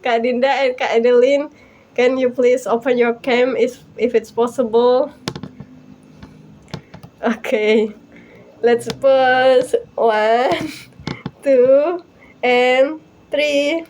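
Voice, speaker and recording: Young woman, Indonesian accent, fairly high voice, giggly, chatty, informal online presentation. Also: fairly even intonation, takes it slowly, pauses often, a little mellow, a little hiss or hum. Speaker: host and presenter of a language practice talk group. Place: online video call.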